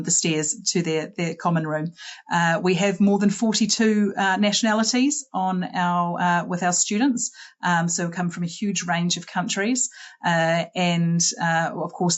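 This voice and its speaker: Lowish New Zealand woman presenting. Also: dull audio. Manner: warm, brisk, many "uh" fillers. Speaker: head of college presenting. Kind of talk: online presentation.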